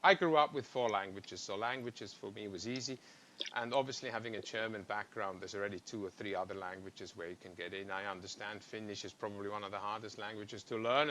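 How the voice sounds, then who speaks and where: A man's voice: lowish voice, fairly even intonation, fast talker, pushed and bright, slight background noise. A man who grew up in Africa and speaks, hosting a podcast in a chatty style and jumping between thoughts. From South Africa. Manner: chatty, jumps between thoughts, laughs easily. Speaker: podcast host. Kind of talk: casual podcast chat.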